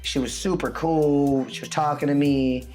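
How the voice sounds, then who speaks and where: A man tells a loose, animated story on a casual show, swearing freely and acting out what people said. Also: fairly high pitch, normal speed, soft background music. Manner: animated, loose, swears a lot, acts out quotes. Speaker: co-host telling a story.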